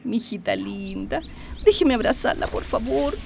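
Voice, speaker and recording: A woman's voice: a little high, animated, moderate pace, gravelly, darkish tone. A woman playing an anxious, bossy but affectionate Chilean mother in a radio drama. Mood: tender. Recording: noisy, clattering dishes and clicks.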